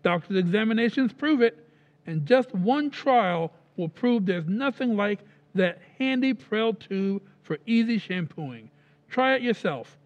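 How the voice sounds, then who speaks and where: A man's voice: sits high, lively intonation, a little slow, highs cut off. A man announcing in the style of a 1940s radio show, reading a sponsor's advertisement. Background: music.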